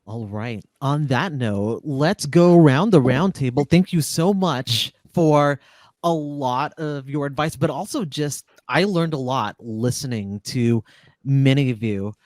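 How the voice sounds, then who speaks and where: A man moderates a panel in a polite, orderly way. He speaks slowly at a middle pitch. The sound is dry and free of room. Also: animated, darkish tone, no room sound. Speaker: host, moderator. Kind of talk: roundtable hosting.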